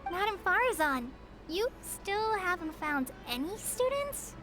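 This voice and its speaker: A squeaky little student kid in a game, polite n dutiful, super high voice, music behind. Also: female voice, big pitch swings, measured pace, full character voice. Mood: polite, earnest. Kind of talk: video game voice acting.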